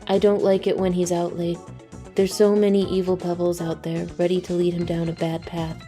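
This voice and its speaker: A deadpan cartoon earth pony, voiced by a woman, with a lowish, utterly monotone delivery. She is literal and matter-of-fact, and her tone is soft-edged and dark. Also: normal speed, soft background music, close to an everyday voice. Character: deadpan, literal-minded female earth pony rock lover. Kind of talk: cartoon-style fan audio drama.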